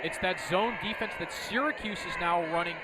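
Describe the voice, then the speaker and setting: A man's voice: sits high, a little fast, a loud crowd. An American man giving live color commentary, explaining play in full, flowing sentences. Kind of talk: live sports analysis. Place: outdoor sports stadium. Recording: very noisy outdoor stadium broadcast. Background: loud outdoor noise.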